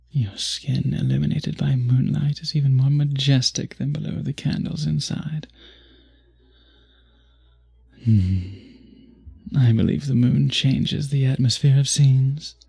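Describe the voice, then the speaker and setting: A man voicing a charming, seductive vampire in a romantic ASMR roleplay, husky and breathy with a courtly, teasing manner, often dropping to a whisper. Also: mid-range voice, mellow, heavily acted. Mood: adoring, seductive. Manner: slow, courtly, teasing, flirtatious. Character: charming, seductive male vampire at a masquerade ball.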